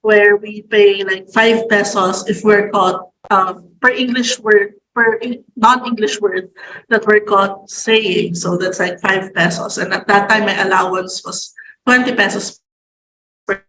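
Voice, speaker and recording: Filipino woman, halting, in an echoey room. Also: mid-range voice, steady tone, a bit bright, a little hiss or hum. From Philippines. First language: Tagalog. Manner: halting, many fillers and restarts. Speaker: guest writer. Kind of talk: casual conversation. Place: room at home.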